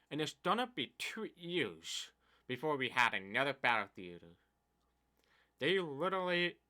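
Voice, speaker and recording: A young deaf man in his twenties talks with a very bright, sharp edge at an ordinary pitch. His talk is casual and rambling, a steady stream of complaints whose loudness rises and falls unevenly. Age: 20s. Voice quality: deaf speaker's speech. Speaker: gaming YouTuber. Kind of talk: casual commentary vlog.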